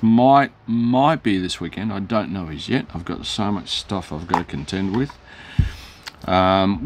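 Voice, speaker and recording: A hoarse middle-aged man, rambling through a casual product demo in his hobby shop, with lots of fillers and self-corrections. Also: low-pitched. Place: hobby shop, shelves behind.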